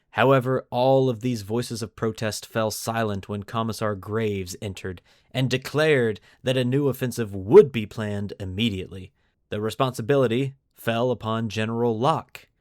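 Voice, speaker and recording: A man's voice: fairly low pitch, no echo, studio quality. A man hosting a podcast, narrating fictional war lore like a storyteller and stressing key phrases. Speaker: podcast host.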